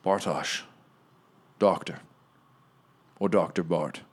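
A battered but dignified starship captain speaks in a deep, hushed, grave voice, as a man voices him at the table. His words come slow and halting. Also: fairly even intonation, breathy edge, heavily acted. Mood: solemn.

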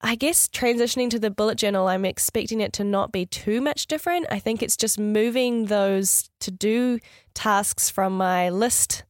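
A woman's voice: medium pitch, normal speed, darkish tone, no echo, studio quality. A woman co-hosting a casual podcast, a little nasal from a cold, chatty and upbeat, thinking aloud as she talks. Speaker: podcast co-host.

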